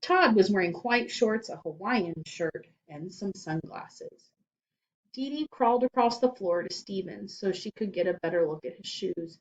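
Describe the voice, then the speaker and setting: A woman storyteller reading a children's book aloud in animated scripted narration. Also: mid-range voice, a little slow, muffled recording.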